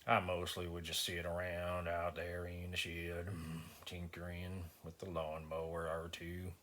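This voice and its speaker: Deep, flat-voiced simple Southern country man. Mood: stoic reminiscing. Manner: halting, deadpan, with grunted hums. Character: slow-witted rural Southern man confessing, stoic and simple. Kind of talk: dramatic film monologue performance. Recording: faint background noise.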